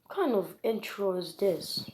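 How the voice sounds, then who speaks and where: A woman's voice: medium pitch, expressive, measured pace. A woman giving casual, unscripted reaction commentary in a hesitant, mumbling, offhand way, as a video creator. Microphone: phone or device mic during screen recording. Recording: noisy home screen-recording audio with clicks.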